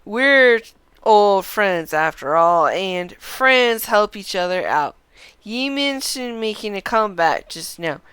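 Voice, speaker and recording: Woman playing a sly, taunting ex-prizefighter, slow and emphatic. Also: mid-range voice, expressive, a bit bright, smooth, no echo. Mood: smooth, sly. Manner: rustic dialect, mocking, drawn-out emphasis. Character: taunting male ex-prizefighter, sly, boastful old rival. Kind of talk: reading game dialogue aloud in character.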